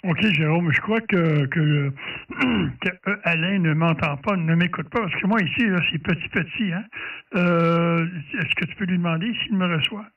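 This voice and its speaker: A man with a Quebec French accent and a fairly high voice talks casually as an amateur radio operator. He speaks haltingly, feeling for words. The sound is narrow and tinny, as if picked up away from the microphone. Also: far from the mic. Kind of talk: casual ham radio chat.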